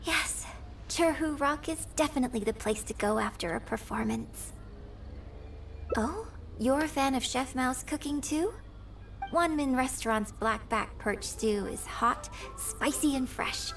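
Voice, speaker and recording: A woman voicing a graceful, polite, composed young opera performer in a video game, with refined, measured phrasing over music. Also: high-pitched, fairly bright tone, recorded from a distance. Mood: relaxed, pleased. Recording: game music bed behind voice.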